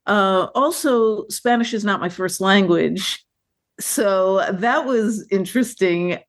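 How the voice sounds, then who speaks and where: A woman filmmaker being interviewed, telling stories about her work in a lively, chatty way with many fillers and restarts. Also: mid-range voice, slow. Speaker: filmmaker guest. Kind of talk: conversational interview.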